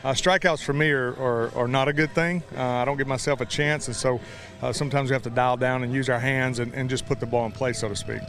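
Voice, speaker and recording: A man's voice: medium pitch, steady tone, fast talker, fairly bright tone. A middle-aged American man, a baseball coach, answering interview questions in a folksy, plain-spoken way.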